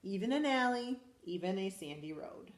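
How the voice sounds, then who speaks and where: A school principal, a woman, reading a picture book aloud to children in a lively, expressive way. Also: mid-range voice, normal speed, reverberant. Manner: animated, expressive storytelling to children.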